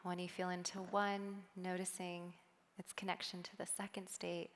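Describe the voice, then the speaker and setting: A woman keynote speaker on a stage, guiding a meditation softly and then talking with the audience in a chatty way. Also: on the low side, big-room sound. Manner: soft, slow guiding then lively chatty with laughs. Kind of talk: guided meditation and audience talk. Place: large hall on a stage.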